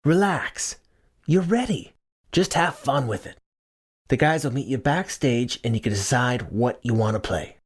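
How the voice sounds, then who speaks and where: A gruff, kindly older theater boss, played by a male audiobook narrator, pitched well above a deep register. He teases like an old friend and encourages warmly, in the manner of a storybook character. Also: lively intonation, unhurried. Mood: kindly reassuring.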